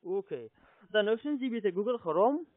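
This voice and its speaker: A high-voiced man, not a German speaker, works as a call-centre agent. He gives polite, halting step-by-step instructions over a dry, muffled telephone line. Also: sing-song, no echo. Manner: polite, halting, with repeated confirmations. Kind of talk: salesman giving step-by-step instructions on a phone call.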